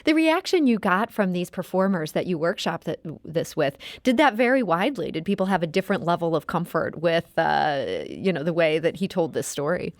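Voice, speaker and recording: A woman's voice: lowish voice, sing-song, a little fast, few pauses, dry recording. A woman interviewing on public radio in a conversational way, putting thoughtful, probing questions.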